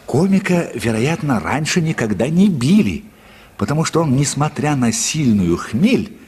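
A man's voice: mid-range voice, very animated, unhurried, darkish tone, breathy edge. A man narrating a literary short story for a radio play in a dramatic storytelling style.

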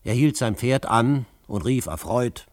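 An actor playing a calm, commanding frontier adventurer in a German radio drama, measured and authoritative. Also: male voice, medium pitch. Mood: measured narrating.

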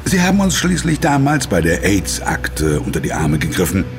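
An actor playing an imperious corporate executive in an audio drama, formal and smug, quick to fawn on superiors. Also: male voice, deep voice, wildly swooping pitch, faint music behind, exaggerated voice. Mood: smug, ingratiating. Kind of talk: German audio drama acting.

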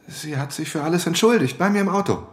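A man's voice: a little high, lively intonation, fast talker, lightly acted. An actor playing a defensive young academic man in a radio drama, clipped and evasive. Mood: evasive, irritated.

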